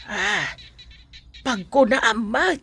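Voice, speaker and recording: A woman's voice: a little high, animated, drawn-out words, bright, forceful tone, breathy edge, exaggerated voice. A woman with a Filipino accent playing an indignant, protective mother in a radio drama. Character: indignant mother defending her child. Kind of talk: Ilocano radio drama acting.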